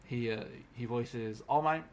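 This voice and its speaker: A man's voice: mid-range voice, measured pace, slight background noise. A man co-hosting a podcast in an informal, chatty style, acting out reactions as he talks. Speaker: podcast co-host. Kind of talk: casual chat about anime.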